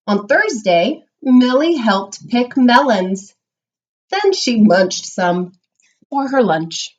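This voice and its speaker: A woman teacher giving a read-aloud lesson to young children, explaining simply and reading with expressive emphasis. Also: on the high side, takes it slowly, dull audio. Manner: instructional, simple explanations with check-ins. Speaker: primary school reading teacher.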